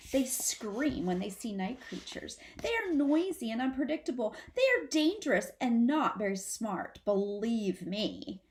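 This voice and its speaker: A woman voicing a protective mother possum, gravely and emphatically explaining dangers to her babies in a storybook reading. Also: on the high side, sing-song, a little slow, few pauses, darkish tone, a little hiss or hum. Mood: emphatic warning.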